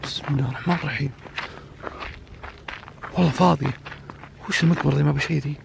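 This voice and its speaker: A young man filming a night vlog outdoors on a phone, speaking in hushed, tense tones and murmuring prayers between orders. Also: fairly high pitch, steady tone, quick pace, vocal fry, noisy background. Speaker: vlogger. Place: outdoors at night, abandoned cemetery.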